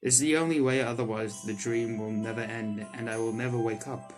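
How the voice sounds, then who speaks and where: A man doing let's play commentary on a visual novel, reading the text aloud and reacting to it excitably. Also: mid-range voice, brisk. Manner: excitable, reacts in sudden loud outbursts. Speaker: streamer playing a visual novel. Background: soft game music.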